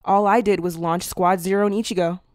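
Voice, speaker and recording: A woman acts a proud, brash anime character who talks bluntly and teases casually. Her voice is medium in pitch and recorded very dry. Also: steady tone, a little fast, darkish tone, no echo, close to an everyday voice. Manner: blunt, teasing. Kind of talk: anime fan drama acting.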